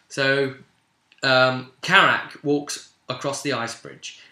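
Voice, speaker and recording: A man's voice: medium pitch, sharp tone. A man casually retelling a tabletop role-play session as game master, rambling and informal, slipping into bits of dialogue. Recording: echoey room.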